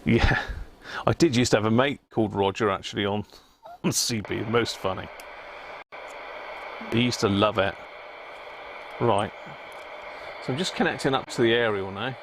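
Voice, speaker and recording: Gravelly, low-voiced British man rambling casually. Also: normal speed, pauses often, noisy background. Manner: rambling, muttering to himself, self-mocking. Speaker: livestream host showing radio gear. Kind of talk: casual live chat, thinking aloud.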